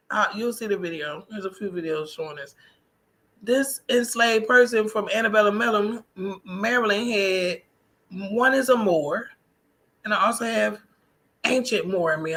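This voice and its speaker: Casting: American female vlogger, bright and punchy voice, emphatic colloquial delivery, conversational solo talk. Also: mid-range voice. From United States. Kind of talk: casual personal vlog monologue.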